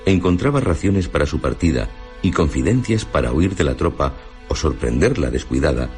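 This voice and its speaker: An actor playing a sombre teller of sinister tales, emphatic and portentous in radio style. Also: male voice, sits low, a little mellow. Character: sombre male storyteller and presenter of sinister tales. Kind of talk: dramatised radio storytelling. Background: faint background music.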